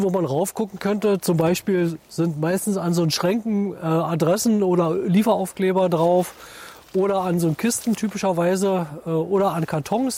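A man with a high-pitched voice gives an interview outdoors in a forest. He explains things step by step, often padding his sentences with filler words. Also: warm tone. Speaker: forestry official. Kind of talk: on-camera interview.

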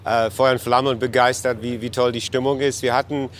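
A man from Berlin, a basketball coach, answering interview questions with hesitant, halting phrasing. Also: medium pitch, flat delivery, a little hiss or hum. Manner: hesitant, many fillers and restarts. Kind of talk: news interview.

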